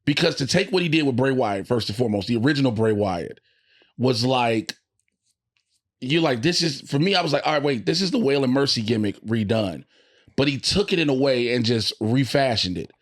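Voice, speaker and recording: An American man with a medium-pitched voice talks in loose podcast banter. He is animated and profane, leaning on slang as he makes his points like a working comic. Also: brisk. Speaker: comedian and podcast co-host.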